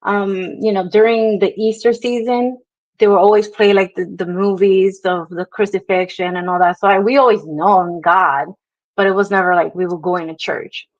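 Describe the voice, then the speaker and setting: A woman's voice: mid-range voice, fairly even intonation, moderate pace, no room sound. A young woman from Brooklyn with a New York accent and a touch of Spanish, casually telling her life story as an interview guest, laughing easily. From Brooklyn, New York, Dominican descent. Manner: chatty, laughs easily, many fillers. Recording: dull lo-fi video call audio.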